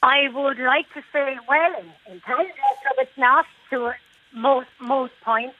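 A woman's voice: sits high, a little slow, no echo. Chatty Irish woman on a phone line. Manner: chatty, emphatic, repeats herself. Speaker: golf club lady captain, caller. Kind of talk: radio phone-in conversation. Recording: dull phone-line sound.

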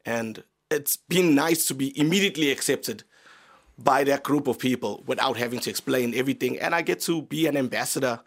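South african guy, comedian on the radio, bit of fry in the voice, laughs a lot. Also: medium pitch, a little fast. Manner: open, animated storytelling, laughs often. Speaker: comedian guest. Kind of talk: casual radio interview. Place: radio studio.

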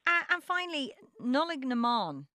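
Lively Irish woman interviewer. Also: sits high, moderate pace, no room sound, studio quality. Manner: curious, warm-hearted questions with hesitant fillers. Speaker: interview host. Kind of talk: casual interview.

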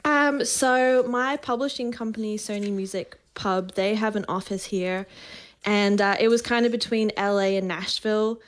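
A woman in her thirties with an Australian accent touched by a soft Irish lilt, chatting brightly as a songwriter guest on a radio show and laughing often. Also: medium pitch, moderate pace. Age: 30s. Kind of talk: casual radio interview. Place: remote call from Nashville.

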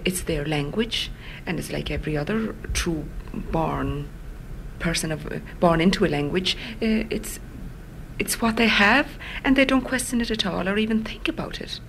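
A woman with a Connemara Irish accent, a native Irish speaker, answering an interview hesitantly, thinking aloud with many pauses and fillers. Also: on the low side, gravelly, a little hiss or hum, low-quality recording.

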